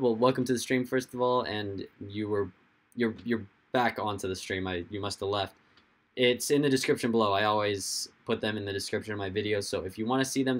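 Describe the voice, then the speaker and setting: A young man with a hoarse, fading voice, hosting a casual livestream, rambling and answering viewers' chat comments as they come in. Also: fairly low pitch. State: hoarse, losing his voice. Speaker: livestream host.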